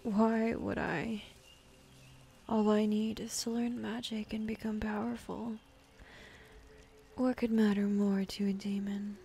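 A woman playing a bossy, jaded female demon in an ASMR roleplay, speaking softly and often half-whispering, with dismissive sighs. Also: mid-range voice, little pitch movement, takes it slowly, gravelly, long pauses, slight background noise. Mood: aloof, softening.

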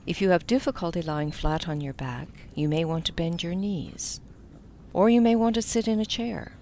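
A woman with a slightly low voice leads a guided meditation. She instructs gently, letting the ends of her phrases fall soft. There is some faint noise behind her voice. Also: normal speed.

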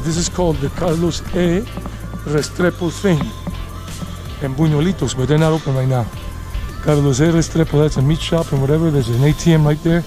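Guy in his late 40s doing a walking vlog, kinda high voice, rambly, music playing behind him. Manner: chatty, rambling, thinking aloud. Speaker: travel vlogger and walking tour host. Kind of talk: casual walking commentary to viewers. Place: outdoors, walking a leafy urban residential street.

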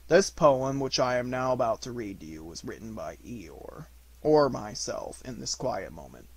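A man doing a gloomy, pompous storybook donkey, husky. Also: medium pitch, very animated, darkish tone, dry recording. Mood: pompous, slow. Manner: halting, pompous, muttering asides. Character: gloomy, self-important old male donkey. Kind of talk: children's storybook reading.

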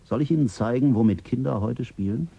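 An actor playing a calm, principled male psychologist in a German radio drama, speaking in a measured, earnest way. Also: medium pitch, vocal fry, dull audio, slight background noise, lightly acted.

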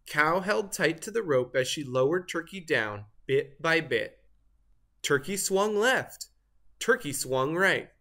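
A storybook narrator reading aloud expressively for children, with deliberate theatrical emphasis. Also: mid-range voice, expressive, sharp tone.

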